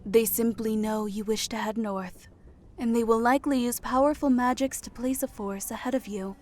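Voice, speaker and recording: A woman playing a teasing, playful goddess with a silky, husky delivery in an audiobook drama. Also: on the high side, fairly even intonation, moderate pace, a little mellow, lightly acted. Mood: matter-of-fact. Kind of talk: audiobook character acting.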